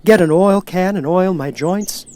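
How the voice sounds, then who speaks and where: A man's voice: sits high, a little slow, mellow, pitched above the performer's own voice. A man voicing a polite, grateful man made of tin, speaking in a courteous, plaintive way.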